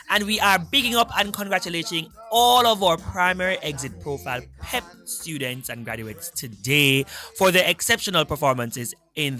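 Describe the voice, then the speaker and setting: A Jamaican man hosts live radio with an upbeat, emphatic announcer delivery. His voice sits a little high for a man and has a bright, forceful edge. He speaks from a studio. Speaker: radio host. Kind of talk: live radio hosting with listener shout-outs.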